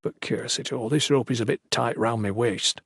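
A man's voice: mid-range voice, moderate pace, vocal fry, fairly bright tone, husky, no room sound, clean studio sound. A man voicing a plump, scruffy old sailor turned priest with bossy, folksy, uneducated speech in an audiobook. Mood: proud, grumbling. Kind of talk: audiobook character voice.

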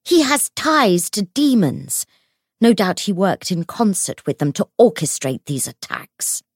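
A woman voicing a menacing, raging noblewoman in an audiobook, with a hoarse, hissing, theatrically accusing delivery. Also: mid-range voice, big pitch swings, unhurried, no room sound, studio quality, exaggerated voice. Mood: menacing accusation.

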